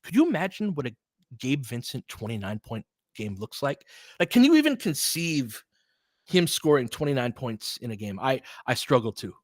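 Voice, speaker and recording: A man's voice: mid-range voice, big pitch swings, normal speed, no room sound. Casual man calling basketball, close dry sound. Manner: informal, candid, opinionated. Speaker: play-by-play host of a fan stream. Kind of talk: casual basketball play-by-play and commentary.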